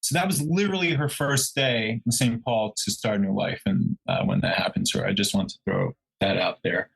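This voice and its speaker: A man co-hosting a true crime podcast, talking casually in a halting, musing way as he thinks out loud. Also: fairly low pitch, creaky, husky, poor audio. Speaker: podcast co-host.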